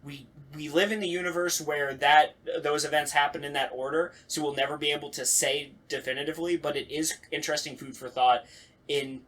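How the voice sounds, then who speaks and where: A man talking casually in a group discussion, halting and slangy as he works through his thoughts. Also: fairly high pitch, steady tone, a bit bright.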